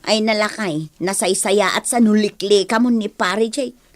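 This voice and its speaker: A woman's voice: medium pitch, unhurried, close to an everyday voice. A woman with an Ilocano accent acting a practical, modest married woman in a radio drama. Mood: questioning.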